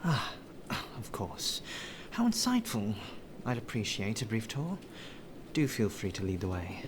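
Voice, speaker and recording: A man acting as a male butler, unhurried, formal and flowery, deferential, ASMR roleplay, rainy ambience with clear background noise. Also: on the low side, wildly swooping pitch. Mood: attentive, deferential. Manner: formal, flowery, softly polite phrasing. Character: courteous, well-spoken male butler, deferential and appreciative.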